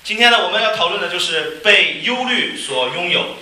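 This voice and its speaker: Sharp, high-voiced male sermon interpreter. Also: animated, a little fast. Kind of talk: live consecutive sermon interpreting. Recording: echoey room, faint noise.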